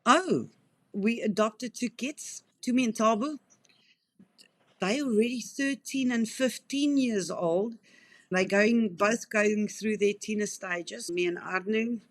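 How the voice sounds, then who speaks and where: A South African woman in her fifties who works in wildlife rehabilitation answers interview questions in an earnest, talkative way, often restarting her sentences. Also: medium pitch. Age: 50s.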